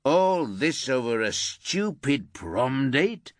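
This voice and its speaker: A man reads a father in disbelief, his voice raised and lively. Dry, close sound. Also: medium pitch, measured pace, slightly rough, dry recording, pitched above the performer's own voice, heavily acted. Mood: incredulous. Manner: incredulous. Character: distraught, incredulous middle-aged father.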